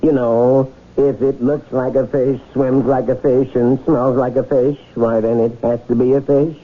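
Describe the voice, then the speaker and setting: Man acting a breezy, husky radio hero. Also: medium pitch, level tone, a little slow, lightly acted. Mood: confiding, amused. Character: breezy, courtly inventor hero, gently confiding. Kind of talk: 1970s radio drama acting. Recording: muffled, phone-like 1970s radio recording.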